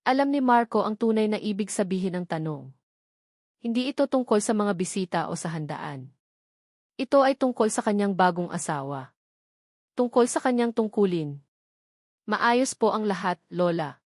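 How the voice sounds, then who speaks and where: A woman's voice: mid-range voice, animated, moderate pace, pauses often, darkish tone, no room sound, clean studio sound. A woman with a Filipino accent narrating a scripted romantic drama as a storyteller.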